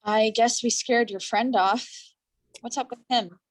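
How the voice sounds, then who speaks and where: Wry young female friend, read by an actress: somewhat high-pitched, dry humour, stage-reading dialogue. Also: steady tone, measured pace.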